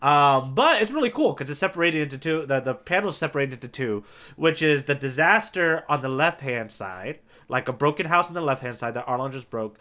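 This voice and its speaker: Male co-host, slightly high and forward bright tone, relaxed conversational podcast style. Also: fairly quick. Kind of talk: casual manga discussion.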